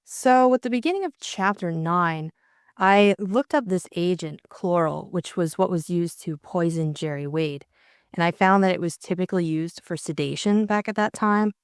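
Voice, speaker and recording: A woman's voice: lowish voice, normal speed, clean tone, no echo, studio quality. A young woman co-hosting a casual book discussion, explaining her thoughts carefully. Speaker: audiobook co-host and narrator.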